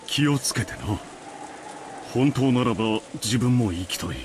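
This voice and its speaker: An actor playing a stern eldest son who heads the family, speaking formally and solemnly. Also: male voice, mid-range voice, expressive, brisk, noisy background, close to an everyday voice.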